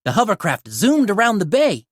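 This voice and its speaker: A woman narrating a children's storybook aloud in an animated read-aloud style. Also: medium pitch, normal speed, husky, no room sound, clean studio sound. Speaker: storybook reader.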